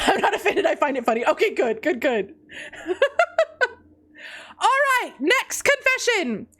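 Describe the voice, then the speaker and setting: A woman's voice: very animated, unhurried, bright, forceful tone. A woman streamer chatting casually with her chat, teasing and laughing often, with a congested nose. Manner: playful, teasing, laughs often, swings between high squeals and low mutters. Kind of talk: casual live-stream chat reading confessions.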